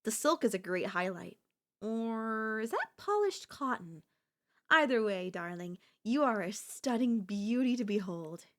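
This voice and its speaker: Woman doing a yandere girlfriend for asmr roleplay, highish voice, doting n possessive, flowery teasing sweet talk, kinda theatrical. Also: lively intonation, unhurried, a bit bright. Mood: adoring. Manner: flowery, coaxing endearments, elegant and teasing phrasing. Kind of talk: ASMR audio roleplay acting.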